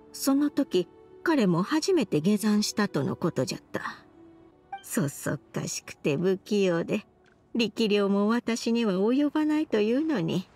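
A remorseful old grandmother from a fantasy game, tells of her past slowly and gravely. Her voice is husky and mid-pitched, with old-fashioned elderly phrasing. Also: darkish tone. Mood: wistful, tender. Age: older. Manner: slow, archaic elderly way of speaking. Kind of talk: Japanese game voice acting, dramatic monologue.

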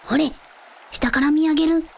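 A woman's voice: high-pitched, steady tone, normal speed, vocal fry, noisy background. A woman playing a small chubby dragon in child form in a radio drama, talking in a pompous, archaic way that slips into timid pleading. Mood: pleading, flustered. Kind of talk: Japanese radio drama acting.

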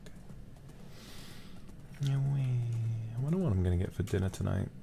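A man livestreaming a game in a soft, casual ASMR style, murmuring to himself and often slipping into whispers on a close mic. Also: on the low side, moderate pace, creaky, noisy background. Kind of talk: soft, casual ASMR gaming stream chat.

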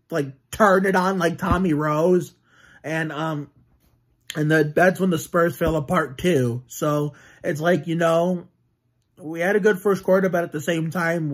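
A man's voice: on the high side, breathy edge. A man in his twenties in a casual home vlog, rambling and swearing about his team, with many restarts and fillers. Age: 20s. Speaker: sports fan vlogger. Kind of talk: casual sports vlog rant. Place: small room at home with bookshelves, indoors.

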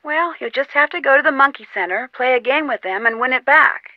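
A young American woman playing a plucky, determined detective in an adventure game, speaking earnestly and clearly. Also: sits high, expressive, brisk, no echo, narrow, tinny audio. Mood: brisk, businesslike. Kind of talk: scripted game dialogue.

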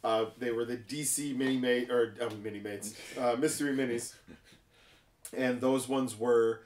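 A man talks casually as a co-host, in conversational phrasing. His voice sits at an average pitch, and the room around him adds a clear echo. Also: steady tone, normal speed, darkish tone. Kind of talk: casual chat about deals and collectibles. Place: room with glass display cases, indoors. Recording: echoey room, table setup.

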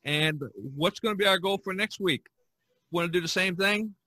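A man's voice: high-pitched, normal speed, pushed and bright, no echo, rough recording. Man hosting a close-miked casual podcast. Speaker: podcast host. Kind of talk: casual podcast chat among artist friends.

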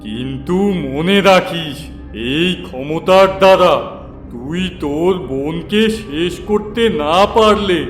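A man voicing a menacing dark spirit in a fairy-tale cartoon, solemn and commanding, offering power at a price. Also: fairly high pitch, takes it slowly. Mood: ominous. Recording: cavernous reverb with music behind.

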